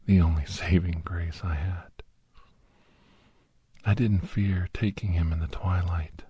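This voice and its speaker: A man playing a weary, confiding doctor who hunts shapeshifters, telling his story in a hushed, halting, breathy ASMR style. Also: low-pitched, steady tone, no room sound, studio quality, full character voice. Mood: hushed, reminiscing. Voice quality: breathy, often whispered.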